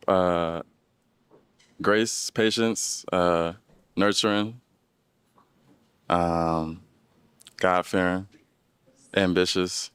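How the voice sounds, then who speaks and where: Male contestant, 30s, very deep and smooth voice, hesitant answers full of ums. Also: fairly bright tone, dry recording. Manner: hesitant, many ums and uhs, searching for words. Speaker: dating show contestant. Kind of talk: unscripted answers on a dating show.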